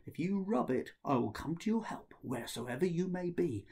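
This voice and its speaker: A man's voice: a little high, expressive, moderate pace, darkish tone, husky, voice pushed up. A man voicing a grateful young male brother in a folktale, who speaks in a formal, old-fashioned way.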